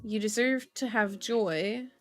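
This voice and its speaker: A woman's voice: mid-range voice, takes it slowly. Chatty woman streamer over music. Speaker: livestream host reading tarot and horoscopes. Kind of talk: casual livestream chat mixed with reading aloud.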